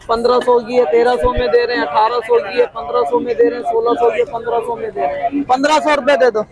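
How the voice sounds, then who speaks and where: A man selling at an outdoor market stall, briskly listing prices one after another. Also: very high-pitched. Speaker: stall vendor. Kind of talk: rattling off prices. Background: crowd chatter.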